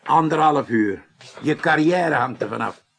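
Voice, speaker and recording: An actor playing a sceptical, authoritative president leading an inquiry in a Dutch radio play, terse and stern. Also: male voice, a little high, animated, unhurried, slight background noise.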